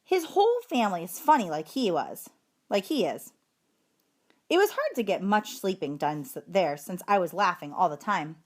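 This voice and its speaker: A woman reading a children's story aloud in an expressive, emphatic storytelling manner. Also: medium pitch, normal speed. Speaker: storybook reader, teacher.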